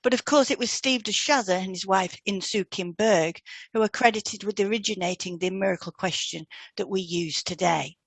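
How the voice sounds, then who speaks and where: A woman's voice: medium pitch, normal speed, creaky, breathy edge, no room sound. A woman co-hosting an educational podcast, reading scripted explanations in an instructive manner. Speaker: podcast co-host and hypnotherapy trainer. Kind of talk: scripted educational podcast dialogue, read aloud.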